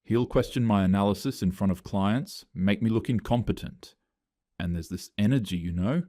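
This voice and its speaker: A man's voice: fairly low pitch, normal speed, dark tone, dry recording, clean studio sound, close to an everyday voice. A man reading the lines of an upset young female office employee, hesitant and aggrieved.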